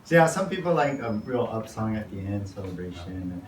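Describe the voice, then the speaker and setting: A man's voice: fairly low pitch, very animated, brisk. A man, a pastor, leading a casual workshop Q&A and rambling relaxedly with lots of "you know.". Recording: roomy, far from mic, noisy.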